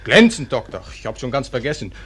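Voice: medium pitch, sing-song, fairly quick, a bit bright, slightly rough. A performer playing an eager, candid newspaper boy in a radio drama, chirpy, earnest and polite.

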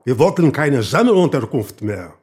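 An actor playing a proud, embittered older former guest worker in a radio drama, earnest and emphatic. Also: male voice, medium pitch, sing-song, moderate pace, darkish tone, lightly acted. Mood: proud, bitter.

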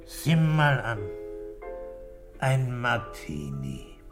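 An actor playing a calm, middle-aged police commissioner in a German radio crime drama, asking terse, dryly insistent questions. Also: male voice, medium pitch, drawn-out words, over music. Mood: probing.

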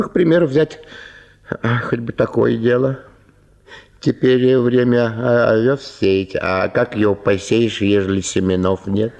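Fawning, slow-talking impoverished gentleman petitioner. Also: medium pitch. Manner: obsequious, plaintive, gushing, drawn-out exclamations. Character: fawning, impoverished small landowner, tearfully grateful, wheedling. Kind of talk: theatrical Russian radio drama.

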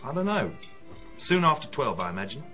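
A man's voice: medium pitch, very animated, a little fast, sharp tone, faint music behind. An actor playing a cocky, evasive young British man in a radio drama, sulky and offhand.

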